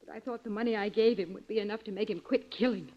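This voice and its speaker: A woman's voice: mid-range voice, fast talker, lightly acted. A woman playing a defensive, resentful prisoner in 1940s radio style, insistent in her delivery. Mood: bitter, defensive. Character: bitter, defensive jailed woman protecting her brother. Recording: dull lo-fi old radio recording.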